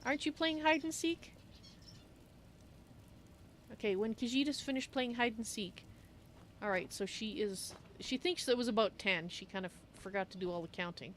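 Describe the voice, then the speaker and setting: A woman's voice: a little high, moderate pace, pauses often, slight background noise. A woman playfully musing aloud as she narrates her video game roleplay in character.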